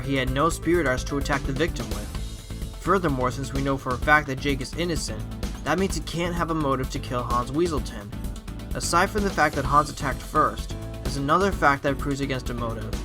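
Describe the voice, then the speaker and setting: A man playing an earnest, confident fox defence lawyer in an audio drama, reasoning aloud deliberately. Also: mid-range voice, close to an everyday voice. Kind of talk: audio drama courtroom acting. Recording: prominent music under the voice.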